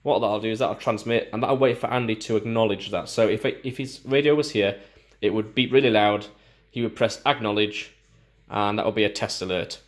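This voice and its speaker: Man explaining gear casually. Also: lowish voice. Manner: explanatory, informal, halting as he demonstrates. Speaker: gear reviewer. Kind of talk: casual hands-on product walkthrough.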